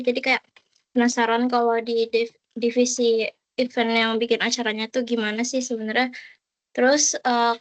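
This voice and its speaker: A young woman from Indonesia gives an informal self-introduction as a student. Her voice sits fairly high, stays very even and sounds dry, with little room around it. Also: no echo. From Bekasi, Indonesia. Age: young adult. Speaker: university student introducing herself.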